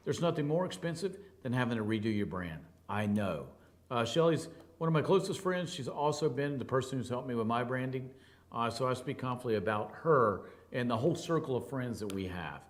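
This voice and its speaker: Guy with a booming baritone hosting a talk show, chatty and emphatic, acts out what people say. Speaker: radio and TV talk show host. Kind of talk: casual talk show monologue.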